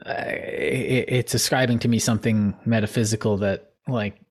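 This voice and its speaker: A man's voice: lowish voice, steady tone, normal speed. A man co-hosting a casual podcast chat, talking in long, digressive runs and laughing at himself as he loses his thread. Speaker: podcast co-host.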